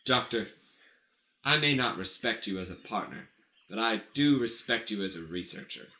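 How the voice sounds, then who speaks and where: Man as a pompous, entitled boss, bright voice. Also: on the low side, lively intonation, moderate pace. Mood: haughty. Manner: pompous, self-satisfied. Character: haughty, entitled boss ordering companions about. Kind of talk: improvised comedic radio play. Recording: roomy, echoey recording.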